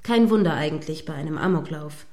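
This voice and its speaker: A woman's voice: low voice, fairly quick, dark tone, close to an everyday voice. Woman acting a stern female radio anchor. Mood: sceptical, reproving. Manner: stern, pointed, formally articulate. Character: prim, combative female radio news anchor, irritated and sceptical. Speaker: radio news presenter. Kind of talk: radio play news broadcast dialogue.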